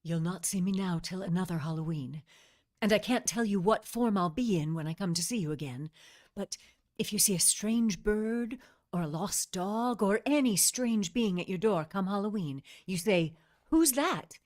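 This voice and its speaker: A woman's voice: medium pitch, expressive, normal speed, darkish tone, natural voice. Radio play witch, played by a woman: mid-range voice, seductive and playful, imperious, used to being obeyed. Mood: tender, mysterious. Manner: coaxing, teasing, imperious, intimate asides. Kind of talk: vintage radio drama acting.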